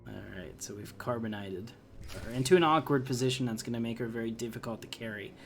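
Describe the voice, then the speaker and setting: A man's voice: mid-range voice, fast, faint music behind. A man hosting a gaming video with casual, conversational playthrough commentary. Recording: clear noise behind the voice.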